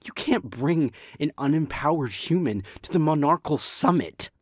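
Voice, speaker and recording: A man's voice: on the high side, lively intonation, normal speed, dry recording, studio quality. A man playing an exasperated, blunt and defensive male vampire in an audio roleplay, terse and argumentative. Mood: incredulous, angry.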